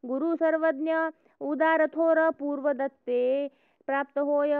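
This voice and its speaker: High flat-toned woman reciting verse. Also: dry recording. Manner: steady measured chanting recitation. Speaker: reader of devotional verse. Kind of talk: recited Marathi devotional verse. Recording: tinny phone-like sound.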